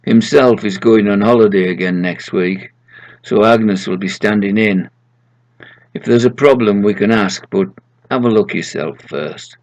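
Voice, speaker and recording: Man as heavenly boss, businesslike, storybook character, gravelly, everyday delivery. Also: lowish voice, unhurried, darkish tone, rough recording. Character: businesslike male heavenly boss handing out jobs.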